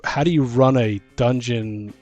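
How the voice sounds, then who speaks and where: Male game master, casual and halting, excitable brainstormer, slightly low-to-middle everyday voice, music underneath. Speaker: host and dungeon master on a tabletop gaming show.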